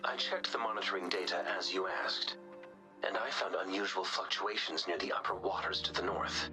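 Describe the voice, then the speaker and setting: An actor playing a polite, analytical male researcher in a video game, speaking in a measured, explanatory way. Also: deep voice, fairly even intonation, natural voice. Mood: calm, explanatory. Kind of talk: scripted video game dialogue. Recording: music behind the voice.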